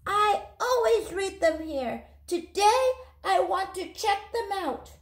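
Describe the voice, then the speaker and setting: A woman's voice: very high voice, animated, takes it slowly, voice pushed up, heavily acted. A woman voicing a determined young boy in a storybook, polite, earnest and emphatic. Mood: firm.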